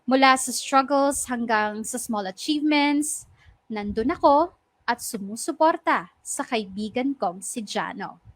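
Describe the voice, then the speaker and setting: A high-voiced, devoted young woman narrator. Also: lively intonation, a little slow, dry recording. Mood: reflective. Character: devoted young woman narrating her own painful love story. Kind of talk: first-person radio drama letter narration. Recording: faint music behind dry voice.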